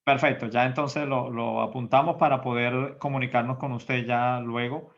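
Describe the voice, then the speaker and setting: A man's voice: medium pitch, fairly even intonation, normal speed, dull audio. A man hosting an online church meeting, politely addressing speakers by name with short, formal turns. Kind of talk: moderating a church call. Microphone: computer mic on a call.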